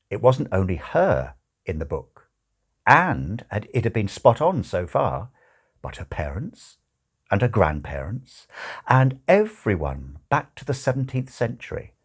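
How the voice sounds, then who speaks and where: A man narrating a comic novel as an audiobook, with wry, expressive storytelling. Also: lowish voice, husky. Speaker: audiobook reader.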